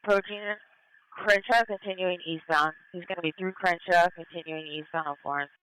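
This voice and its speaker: Bright, crisp male air unit on police radio. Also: sits high, steady tone, brisk, rough recording. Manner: terse, running call-outs of directions. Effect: police radio transmission. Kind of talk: police radio pursuit commentary.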